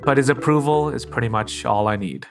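A man reporting and narrating a personal story for a podcast, in a calm, thoughtful, plainly spoken way. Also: medium pitch, a little fast. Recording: music clearly under the voice.

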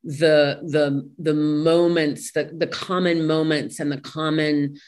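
A woman with a low voice speaks as an interview guest. She is thoughtful and halting, often searching for the right words as she explains her view. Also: little pitch movement, darkish tone. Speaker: interview guest, play translator.

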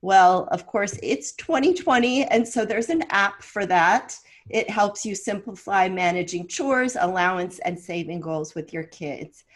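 A woman's voice: medium pitch, expressive, a little slow, hardly any pauses. A woman hosting a podcast, introducing and questioning a guest in a friendly, conversational way. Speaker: podcast host. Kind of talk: podcast interview.

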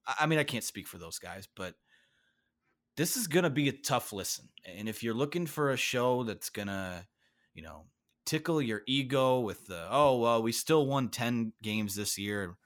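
A man's voice: medium pitch, animated, normal speed. A man hosting a sports podcast, talking bluntly and with stern emphasis. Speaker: podcast host.

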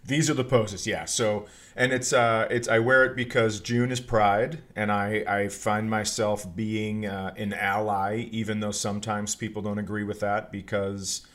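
Podcast host: American male, middle-aged, lowish voice, loose comedic storytelling in a casual conversational style. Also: flat delivery, a little slow, hardly any pauses, fairly bright tone. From northern Minnesota, United States.

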